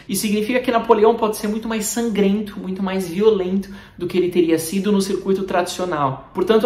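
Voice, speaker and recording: A woman with a Brazilian Portuguese accent giving a scripted film review in a measured, articulate, analytical way. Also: medium pitch. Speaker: film critic. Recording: roomy, echoey room.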